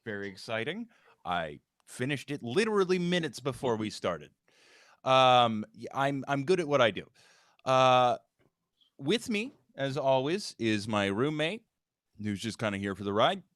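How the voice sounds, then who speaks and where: A man's voice: mid-range voice, very animated, measured pace, a bit bright, no echo, clean studio sound. A man hosting a casual live stream in a joking, rambling way. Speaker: host and script writer.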